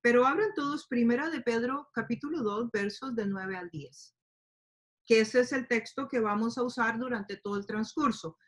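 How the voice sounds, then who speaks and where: A woman teaching a Bible study to a group, speaking warmly and explaining with fillers and questions. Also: a little high, normal speed. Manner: speaks to a group, asks them to open their Bibles, many fillers like "eh" and "este". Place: lives in the United States.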